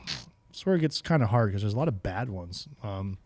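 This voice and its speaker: A man's voice: lowish voice, lively intonation, brisk, a little mellow, no room sound. A man co-hosting a podcast, chatting casually and enthusiastically in loose slang about movies. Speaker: podcast co-host. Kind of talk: casual banter about video game movies.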